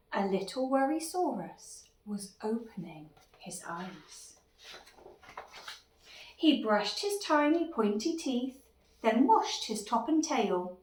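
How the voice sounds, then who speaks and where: A woman reads a children's storybook expressively, with a high, very lively voice. She sounds a little away from the microphone, in an echoey room. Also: distant mic. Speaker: story reader.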